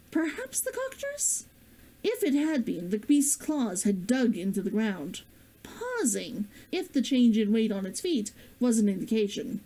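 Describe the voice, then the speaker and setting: A woman streamer and author with an expressive way of talking, chatting to viewers and reading a story aloud. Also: on the high side, low-quality recording. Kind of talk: chatty greeting, then storybook read-aloud.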